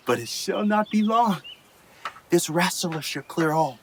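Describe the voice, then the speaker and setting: A young man playing a bitter, scheming elder brother, a haughty nobleman, with venomous, deliberate Shakespearean delivery in a radio play. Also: sits high, lively intonation, heavily acted. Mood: brooding, resentful. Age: young adult.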